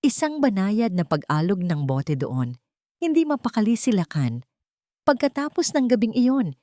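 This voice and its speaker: A woman storyteller reads a dramatic scripted tale in a low female register. Her intonation rises and falls expressively, with solemn, suspenseful phrasing, in a very dry recording. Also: a little mellow, no room sound. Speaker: audio drama narrator.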